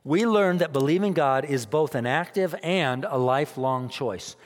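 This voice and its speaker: A man preaching, his voice somewhat high for a man. He drives his points home by repeating them, dropping to near quiet and then rising again. A long reverb from the hall hangs on his words. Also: moderate pace. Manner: emphatic, repeats phrases, rises and drops in loudness. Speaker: pastor. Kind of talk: sermon.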